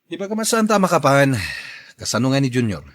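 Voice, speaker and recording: Radio drama role: male actor as a busy, grumbling family man, hurried delivery with big pitch swings. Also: big pitch swings, lightly acted. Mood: concerned.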